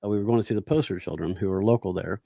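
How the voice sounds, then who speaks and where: A man's voice: deep voice, rapid-fire, creaky, warm tone, no room sound, studio quality. An American man chatting casually as a podcast guest, telling slangy anecdotes and chiming in. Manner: slangy, interjecting.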